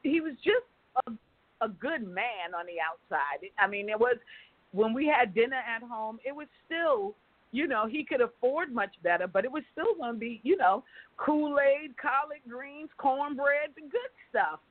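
A woman's voice: fairly high pitch, animated, measured pace, no room sound. Woman guest on a tinny phone line. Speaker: interview guest, company founder. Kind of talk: casual conversational storytelling.

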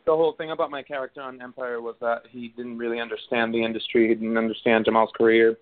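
Venezuelan actor in his 30s, hesitant phone interview guest, mid-pitched, tinny line. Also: little pitch movement, fast. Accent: Venezuelan Spanish. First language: Spanish. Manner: hesitant, many fillers and restarts. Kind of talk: casual interview answers.

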